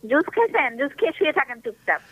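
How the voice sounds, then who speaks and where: Bright, high-pitched Bangladeshi woman on the phone. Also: sing-song, quick pace, no room sound, poor audio. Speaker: prank call recipient. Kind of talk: casual phone conversation. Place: on a telephone call.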